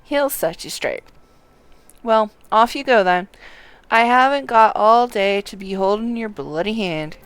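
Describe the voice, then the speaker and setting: A woman voicing a brisk, familiar tavern keeper who teases and bosses while handing out work in a video game. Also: mid-range voice, clear, resonant tone, close to an everyday voice. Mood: bossy. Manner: folksy, teasing, bossy. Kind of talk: video game quest dialogue read aloud.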